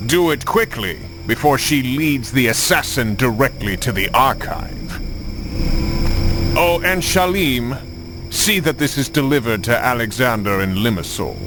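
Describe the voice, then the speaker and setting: An actor playing a cold, commanding Templar grandmaster in a video game, speaking with measured contempt. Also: male voice, medium pitch, expressive, background noise, lightly acted. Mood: cold, displeased. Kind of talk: dramatic video game acting. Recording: game cutscene with music behind.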